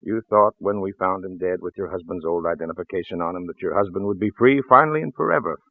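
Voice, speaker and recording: An actor playing a folksy, shrewd small-town police lieutenant in a 1940s radio drama, hesitant and apologetic in manner. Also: male voice, low-pitched, fast, dry recording. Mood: shrewd, regretful. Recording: muffled vintage radio recording, phone-like band.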